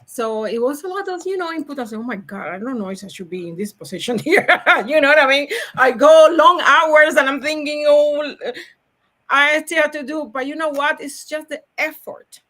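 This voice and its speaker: A woman with a Cuban accent speaks in a high, bright voice and sounds upbeat and hyper. She laughs often and repeats words as she talks. Spanish is her first language. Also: animated, moderate pace. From Cuba. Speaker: logistics salesperson, guest. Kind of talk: podcast interview.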